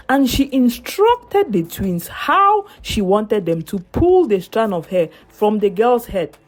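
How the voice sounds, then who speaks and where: A woman narrating a scripted dramatic folktale in an emphatic storytelling manner. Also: medium pitch.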